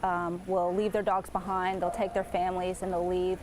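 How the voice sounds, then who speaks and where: A woman's voice: fairly low pitch, level tone, normal speed, a little mellow, slight background noise. A woman working at an animal shelter, speaking earnestly in an interview clip with fillers. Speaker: animal shelter staff member. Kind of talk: interview soundbite.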